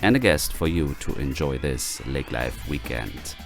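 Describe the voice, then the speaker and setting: A man's voice: very deep voice, lively intonation, measured pace, soft background music. A man in his late thirties from Germany with a German accent, hosting his own podcast in a hesitant, casual way with many fillers and restarts. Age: late 30s. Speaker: podcast host. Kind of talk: casual solo podcast talk.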